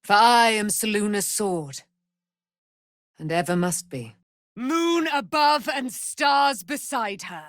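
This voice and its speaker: An actress playing a proud, wrathful immortal warrior, daughter of a moon goddess, declaiming in grand archaic speech for a fantasy game. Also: female voice, medium pitch, expressive, slow pace, a bit bright, no echo, clean studio sound. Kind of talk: theatrical fantasy game voice acting.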